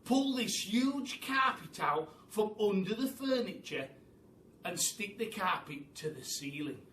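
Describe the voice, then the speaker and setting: In a roomy, distant recording, a bossy monkey father from a children's story as a man reads him aloud drives everyone on. He is impatient and frantic, barking excited commands. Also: high voice, slow, heavily acted. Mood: snappy, commanding. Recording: roomy, distant mic with faint noise.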